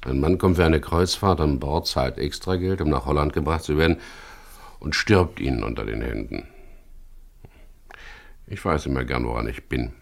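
A frank, wary boat skipper in a German radio crime play. His voice sits extremely low with a gravelly creak. He speaks plainly and keeps his guard up. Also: normal speed, full character voice. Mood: frank, dry. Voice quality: very deep, gravelly. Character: frank, wary male boat skipper caught up in a murder case. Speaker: actor in a radio crime drama, also narrating. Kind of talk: 1970s German radio play acting, dialogue and first-person narration.

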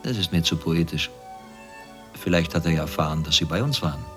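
Man playing menacing East German interrogator, very low voice, sardonic, deliberate, radio drama, music behind. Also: lightly acted. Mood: cold, insinuating. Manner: sardonic, deliberate, falsely modest. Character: cynical, menacing East German male secret police officer. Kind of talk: German radio play acting.

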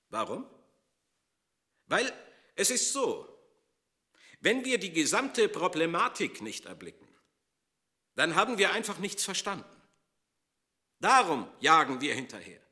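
A man explains with emphasis in a high, forceful voice. His intonation is very lively, and he stops and restarts as he speaks off the cuff. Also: a little slow, long pauses.

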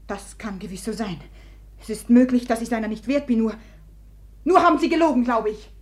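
An actress plays a proud, wounded woman in a German radio play. Her fairly high voice swings from hushed, halting repetition to imperious command. She is volatile and taunts her rival theatrically. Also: natural voice. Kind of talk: German radio drama acting.

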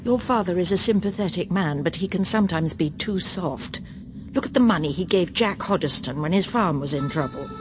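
A woman's voice: lowish voice, expressive, a little fast, background noise, close to an everyday voice. An actress in a radio drama playing a proper, judgmental English wife and mother with a clipped, haughty manner. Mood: haughty, disapproving. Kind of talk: BBC radio drama acting.

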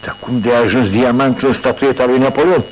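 Brief: actor as an inquisitive male companion, mid pitch, faint hum under the radio drama take. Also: steady tone, natural voice, rough recording. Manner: curious, asking short questions. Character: inquisitive male doctor companion, curious and skeptical. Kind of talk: 1980s radio drama acting.

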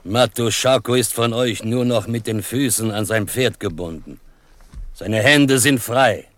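An actor playing a proud, solemn chief held prisoner in a western radio drama, speaking formally and with measured gravity. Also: male voice, medium pitch, fairly bright tone, close to an everyday voice. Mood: solemn, earnest. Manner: formal, measured, speaks of himself in third person.

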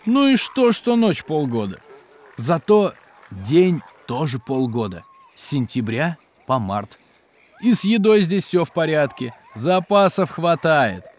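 Hospitable geologist-cook, higher-pitched man's voice, animated, hearty, educational children's radio play. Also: unhurried. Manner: animated, chuckling, explanatory, hearty. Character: hospitable, jolly male polar geologist doubling as station cook. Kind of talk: children's educational audio drama.

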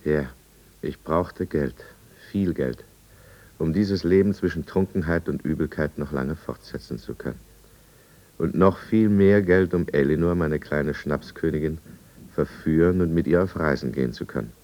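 An actor playing a brooding drunkard who narrates his own downfall deliberately and confessionally in a 1950s radio drama. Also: a bass voice, darkish tone. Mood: obsessive. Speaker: first-person narrator.

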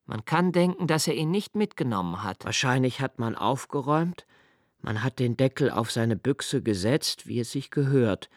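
An actor playing a brooding, solitary male lodger who muses precisely and haltingly in a literary radio play monologue. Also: medium pitch, a little mellow, no echo, studio quality, natural voice. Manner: deliberate, precise, halting self-corrections. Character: brooding, obsessively observant solitary male lodger, musing alone.

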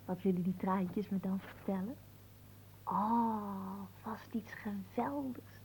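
An actress playing a young peasant sweetheart in a radio play, theatrical and emotional, naive, doting and quick to jealousy. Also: female voice, mid-range voice, darkish tone, slight background noise, poor audio. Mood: fond, naive.

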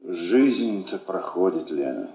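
An actor playing a middle-aged military husband, weary, lonely and wistful, in a theatrical radio drama. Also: male voice, sits low, animated, slow, mellow, reverberant, exaggerated voice.

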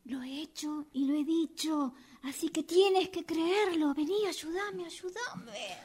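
Woman acting frantic high-pitched Argentine young woman. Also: slow pace, a little mellow, exaggerated voice. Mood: anxious, pleading. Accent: Rioplatense Argentine. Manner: insistent, repetitive, urging. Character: frantic, pleading young woman dragging her friend into trouble. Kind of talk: radio theatre workshop fiction.

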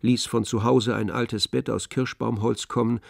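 A man's voice: mid-range voice, fairly even intonation, normal speed, creaky, dry recording, clean studio sound. A man reading literary narration as the storyteller of a radio play.

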